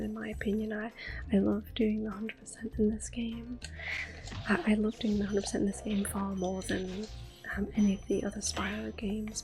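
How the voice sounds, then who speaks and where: Woman, soft whisper, ASMR gameplay, close mic, gentle and hesitant, faint game music behind. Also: mid-range voice, gravelly. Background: faint game music and controller clicks.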